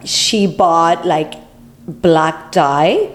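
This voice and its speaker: A woman, chatting as a co-host in a halting, slightly shy way. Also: on the low side. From Puerto Rico. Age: 50s. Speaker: podcast co-host. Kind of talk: casual chat, storytelling.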